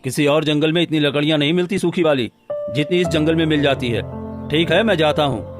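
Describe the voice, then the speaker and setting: Higher-voiced, earnest woodcutter acted by a man. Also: fairly quick, close to an everyday voice. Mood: worried. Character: gentle, anxious village woodcutter, trusting husband. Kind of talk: scripted Hindi cartoon story dialogue. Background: background music.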